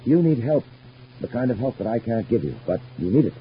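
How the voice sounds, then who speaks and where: An actor playing a patient, quietly concerned doctor in an old radio soap, reasoning in a measured, placating way. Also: male voice, medium pitch, very animated, close to an everyday voice. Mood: concerned, firm. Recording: dull, noisy old radio recording.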